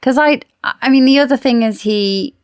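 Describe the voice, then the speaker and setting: A woman's voice: high voice, moderate pace, clean tone, no room sound, studio quality. A woman co-hosting a book discussion podcast, talking casually in an inquisitive, opinionated way. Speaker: podcast co-host.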